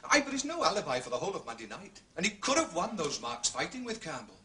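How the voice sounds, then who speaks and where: An actor playing a blunt, dogged police inspector with a Scottish accent, plain-spoken and emphatic, in a period detective drama. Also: male voice, fairly high pitch, wildly swooping pitch, fast talker, pushed and bright, husky, exaggerated voice. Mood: frustrated.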